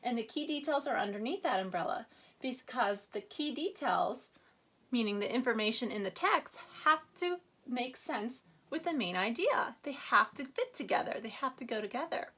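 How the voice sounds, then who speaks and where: A woman teacher giving a reading lesson to young children, prompting them with questions and reading aloud with expressive emphasis. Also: a little high, moderate pace, breathy edge. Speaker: first-grade teacher.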